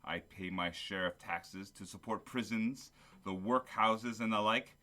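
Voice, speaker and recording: A man's voice: fairly low pitch, measured pace, sharp tone. An actor playing a cold, miserly older businessman in a radio play, curt, sarcastic and dismissive. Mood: cold contempt. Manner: curt, scornful, sardonic.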